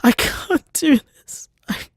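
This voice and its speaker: Man acting as a slow, halting, wounded man. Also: no room sound, studio quality, natural voice. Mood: hurt, breaking down. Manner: halting, repeating himself, emotional. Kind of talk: audio drama voicemail monologue.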